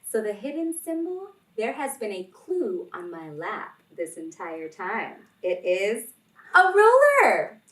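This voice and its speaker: Slow, animated woman reading to children. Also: mid-range voice. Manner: deliberate, addresses children as friends. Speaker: children's read-along host and storyteller. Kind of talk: expressive picture-book read-aloud and talk to young children. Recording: roomy, distant microphone, music behind.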